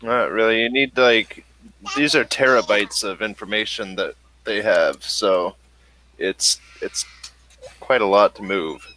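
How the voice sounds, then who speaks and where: A man with a bright, forward voice runs a tabletop role-playing session. He sits a little low in pitch and talks in an easy, relaxed way, laughing easily as he calls for rolls. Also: a little slow, a little hiss or hum, poor audio. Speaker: game master. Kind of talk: running a tabletop game online.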